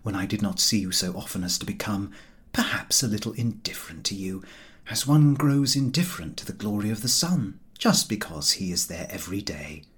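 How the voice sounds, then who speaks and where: Man putting on a frank wife, husky and lilting. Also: fairly low pitch, sing-song, a little fast, voice pushed down, exaggerated voice. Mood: fondly soothing. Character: frank, brisk Edwardian wife, fondly weary of husband. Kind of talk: audiobook character dialogue.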